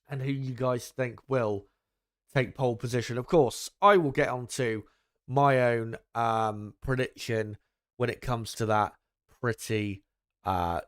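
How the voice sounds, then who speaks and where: A young man hosting a live stream, talking casually with lots of ums and asides to his viewers, his voice deeper than it used to be. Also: clean tone. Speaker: livestream host. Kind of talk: casual live commentary chat.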